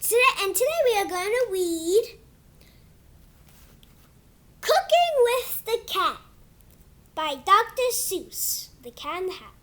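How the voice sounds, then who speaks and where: A child hosting a read-aloud of a picture book, reading haltingly like a beginner and drawing words out. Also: very high-pitched, sing-song, a bit bright.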